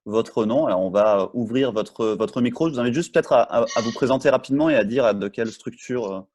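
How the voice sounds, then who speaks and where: A man moderating a video-call masterclass, introducing a question quickly and a little hesitantly. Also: mid-range voice, darkish tone, a little hiss or hum.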